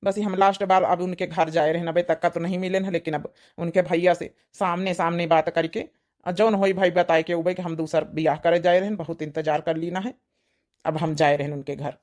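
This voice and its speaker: A woman's voice: fairly low pitch, fairly even intonation, moderate pace, a little mellow, natural voice. A woman with an Awadhi accent voicing a frustrated, dutiful grown son with an earnest, complaining manner in a cartoon story. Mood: resolved. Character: frustrated, dutiful adult son waiting to marry. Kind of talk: amateur cartoon story dialogue.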